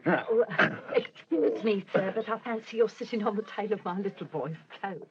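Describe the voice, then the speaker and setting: A woman's voice: mid-range voice, moderate pace, lightly acted. An actress playing a chatty, bossy working-class English mother in a radio drama, homely and colloquial. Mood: bossy, obliging. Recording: dull radio recording, faint train noise.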